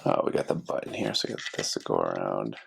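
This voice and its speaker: A man's voice: rumbling low, huge pitch swings, fast talker, creaky, background noise. A man casually narrating a hands-on repair, thinking aloud as he works, like a DIY video host. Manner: thinking aloud while working. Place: indoors at a carpeted workspace desk.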